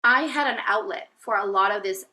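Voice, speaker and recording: Bright-voiced woman, hesitant. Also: mid-range voice, normal speed. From Venezuela. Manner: hesitant, many fillers and restarts, earnest. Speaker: guest, spiritual healer. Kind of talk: reflective personal interview talk.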